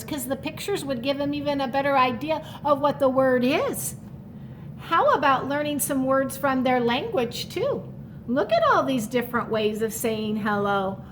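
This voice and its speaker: A woman with a high voice reads a children's picture book over clearly audible background noise. She takes her time with each line. She adds simple, encouraging asides straight to the young listener. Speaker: storytime reader for children.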